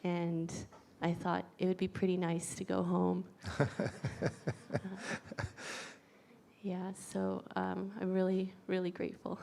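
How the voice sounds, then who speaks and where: An American woman giving a grateful personal testimony, soft-spoken and steady with small fillers. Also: fairly low pitch, slow, creaky, pauses often, background noise. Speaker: pilgrim sharing reflections.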